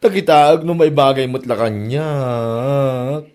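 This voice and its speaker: An actor plays an eager, gossipy character with a mid-pitched male voice. He chats and exclaims in comedy drama style. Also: takes it slowly, darkish tone, lightly acted. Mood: excited, gossipy. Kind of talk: Ilocano comedy drama acting.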